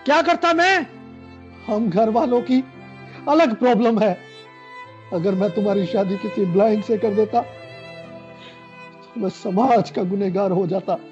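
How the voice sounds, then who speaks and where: An actor playing a stubborn, quick-tempered elder brother in a radio play, given to loud, forceful outbursts, in a muffled recording with music behind. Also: male voice, sits high. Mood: angry.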